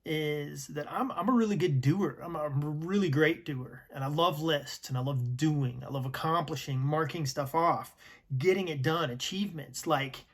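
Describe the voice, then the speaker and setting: A man in his early forties giving a slow, reflective personal talk, sometimes dropping to a near whisper. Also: on the high side. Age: 40s. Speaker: vlogger.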